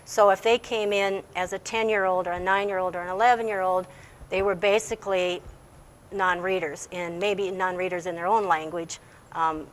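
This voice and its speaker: A woman's voice: fairly low pitch, rough recording. Woman, schoolteacher in an interview. Explanatory, plain-spoken delivery, slight background noise. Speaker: English language teacher.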